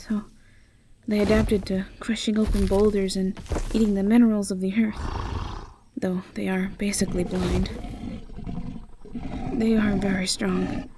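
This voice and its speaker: Woman doing a bossy but calm lady professor, slow n measured, bit wry, fantasy school audio drama. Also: medium pitch, a little hiss or hum, lightly acted. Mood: instructive. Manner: measured, commanding, instructive. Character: authoritative, composed, wry female fantasy academy professor. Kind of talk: amateur fantasy audio roleplay acting.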